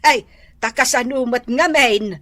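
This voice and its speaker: Natsismosa a nana, naraniag ti timek. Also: female voice, a little high, a little slow, a bit bright, no room sound. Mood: gossiping. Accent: Ilocano. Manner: chatty, gossipy. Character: chatty, gossipy older market vendor auntie. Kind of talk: Ilocano comedy radio drama acting.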